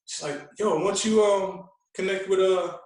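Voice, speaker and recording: A man telling stories in casual conversation as a podcast guest, animated and often repeating words as he goes. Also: high-pitched, moderate pace. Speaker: podcast guest, veteran radio host. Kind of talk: casual chat, storytelling. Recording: echoey room, distant from mic.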